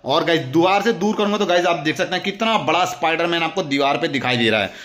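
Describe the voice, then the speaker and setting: A man's voice: fairly high pitch, lively intonation, fast talker, fairly bright tone. An Indian man hosting a toy review, enthusiastically showing items and repeatedly addressing his viewers. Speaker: toy review channel host. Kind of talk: excited toy unboxing commentary.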